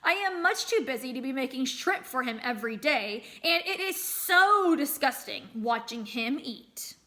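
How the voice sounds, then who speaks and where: A woman's voice: high voice, animated, normal speed, bright, forceful tone. A woman reading a storybook as a selfish, irritated flower seller, complaining with exasperation. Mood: exasperated.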